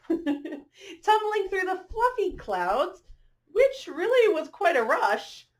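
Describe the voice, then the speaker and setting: Animated high-voiced woman reading a storybook aloud. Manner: animated, engaging listeners with questions and exclamations. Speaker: storyteller reading a picture book aloud to children. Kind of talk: expressive children's read-aloud with chatty asides.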